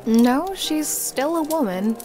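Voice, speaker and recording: Woman playing a slow, dry young necromancer. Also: high voice, expressive, warm tone, faint music behind. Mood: matter-of-fact. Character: dry, guarded young female necromancer, servant's daughter. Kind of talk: visual novel character acting.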